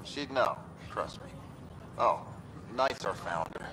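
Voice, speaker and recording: Casting: an eager hacker, chatty, mid pitch, noisy backdrop. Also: male voice, lively intonation, takes it slowly. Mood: friendly. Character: friendly male hacker, eager recruiter.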